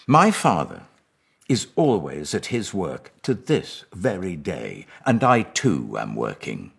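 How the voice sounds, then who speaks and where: A man acting out a grave, commanding holy teacher in a scripture reading, with a very deep, husky British voice. He speaks slowly and deliberately, with solemn authority. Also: wildly swooping pitch, fairly bright tone, exaggerated voice. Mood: emphatic declaring.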